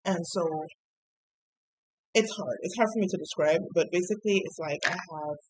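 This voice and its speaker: A woman's voice: on the low side, moderate pace, noisy background. A young woman from Trinidad and Tobago with a light Trinidadian accent that comes and goes, chatting casually in an opinion vlog, often tagging "right?" and pronouncing words carefully because of a speech impediment. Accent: Trinidadian, light and coming in and out. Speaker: YouTuber.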